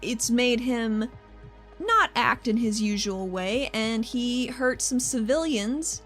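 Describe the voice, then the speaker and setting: An earnest female handler in a roleplaying game, acted by a woman. She explains herself frankly and haltingly in casual words. The voice is on the high side, with quiet music behind. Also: expressive. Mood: earnest, awkward. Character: earnest, frank female handler defending her troublesome partner.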